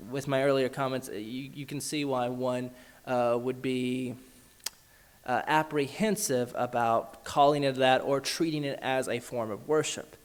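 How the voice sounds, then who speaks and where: A man answering questions at a church, earnest and halting, with many fillers and restarts as he reasons through an answer. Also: mid-range voice. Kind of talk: church Q&A talk.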